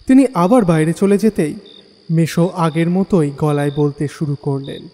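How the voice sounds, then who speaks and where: An actor playing a curious young visitor who narrates a scripted horror audio drama. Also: male voice, a little high, normal speed, warm tone, big-room sound, close to an everyday voice. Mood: atmospheric.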